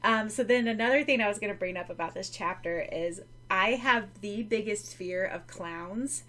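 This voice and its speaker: A woman's voice: medium pitch, normal speed, sharp tone. A woman co-hosting a podcast in casual, giggly chat, peppering her talk with "like". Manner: giggly, informal, frequent "like". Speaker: podcast co-host.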